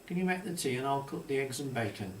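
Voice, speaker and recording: A man's voice: mid-range voice, lively intonation, quick pace, darkish tone, voice pushed up, lightly acted. Man reading as old fisherman grandpa, fond, homely, roomy sound, bit distant. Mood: homely, caring. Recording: distant mic, roomy echo, faint hiss.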